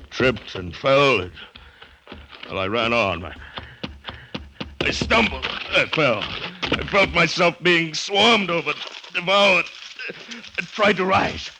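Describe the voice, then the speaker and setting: An actor playing a desperate man recounting an agonising attack, intense and breathless, in old American radio drama style. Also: a little high. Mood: agonised, panicked. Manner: intense, breathless first-person narration. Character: desperate man attacked by swarming ants, recounting his ordeal. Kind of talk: 1930s-1940s American radio drama acting. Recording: noisy old radio recording with clicks.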